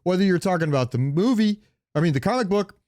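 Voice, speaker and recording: A man's voice: high voice, expressive, quick pace, a little mellow, dry recording, studio quality. A man co-hosting a podcast, chatting casually with informal, opinionated, sweary talk. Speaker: podcast co-host. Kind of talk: casual chat about comic book movies.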